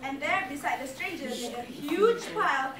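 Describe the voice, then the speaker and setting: A woman's voice: high-pitched, expressive, normal speed, over a noisy crowd. A young woman reading story narration dramatically as a student in readers theatre. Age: young adult. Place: large lecture hall, far camera in audience. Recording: echoey lecture hall from far, loud audience.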